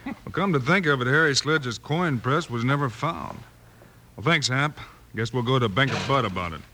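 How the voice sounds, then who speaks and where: Man acting a purposeful Western lawman, American, mid-pitched, steady, old radio western with faint noise. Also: moderate pace, close to an everyday voice. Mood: calm, probing. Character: steady, purposeful frontier lawman hero, male.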